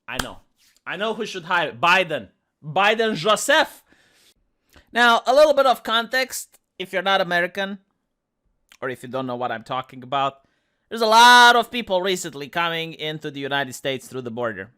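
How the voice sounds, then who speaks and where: A woman with a Romanian accent and a squeaky voice, hosting sarcastic political commentary with rambling restarts. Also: sing-song, normal speed, a bit bright. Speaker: commentary channel host.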